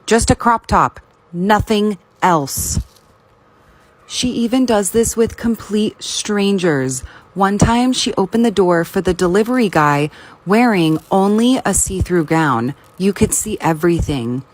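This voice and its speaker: A woman's voice: mid-range voice, lively intonation, unhurried. A woman narrating first-person stories from a script. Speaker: story narrator.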